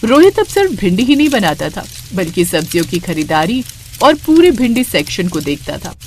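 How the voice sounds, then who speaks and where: Expressive woman narrating a story. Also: medium pitch, normal speed, slight background noise.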